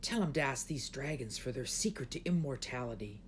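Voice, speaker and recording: A man's voice: mid-range voice, expressive, a little fast, slight background noise, close to an everyday voice. A man voicing a scornful, scheming, conspiratorial royal advisor in a children's storybook. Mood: sly. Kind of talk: children's storybook read aloud.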